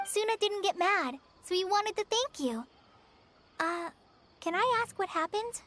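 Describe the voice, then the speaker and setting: A woman's voice: very high voice, animated, a little slow, fairly bright tone, heavily acted. A woman voicing a shy, eager young female apprentice in a video game. She speaks earnestly and stammers when unsure.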